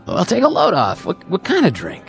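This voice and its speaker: An actor playing a brash, familiar bartender in a radio drama, with casual, slangy, teasing patter. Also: male voice, high-pitched, wildly swooping pitch, moderate pace, creaky, faint music behind, exaggerated voice. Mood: teasing, hustling.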